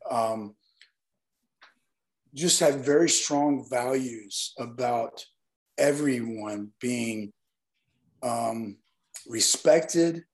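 A hoarse man from Northeast Alabama, a guest talking earnestly and at length in an interview. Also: medium pitch. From Northeast Alabama, US South. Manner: earnest, rambling explanations. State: hoarse, partly lost voice. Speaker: guest, nightlife event promoter. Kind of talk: casual interview talk.

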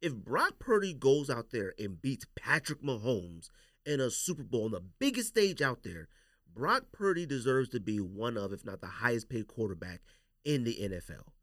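A man's voice: mid-range voice, big pitch swings, normal speed, fairly bright tone, no echo, clean studio sound. An American man hosting a casual sports podcast, giving emphatic, opinionated takes and repeating his points for emphasis.

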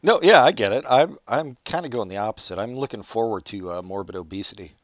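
A man's voice: fairly low pitch, animated, fast talker, no room sound, highs cut off. A man in his forties hosting a podcast, chatting casually with dry, wry teasing. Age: 40s. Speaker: podcast host. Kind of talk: casual chat.

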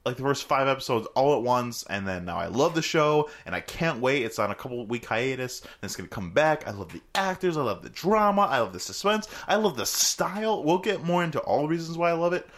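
Excitable guy co-hosting a podcast, voice bounces all over, super casual. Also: mid-range voice, a little fast, few pauses. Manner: enthusiastic, animated, joking. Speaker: podcast co-host. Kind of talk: casual chat.

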